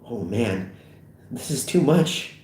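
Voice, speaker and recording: Man reading as a flustered teen boy; stammers, raised pitch, lively. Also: slow. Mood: panicky. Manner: stammering, panicky. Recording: roomy echo.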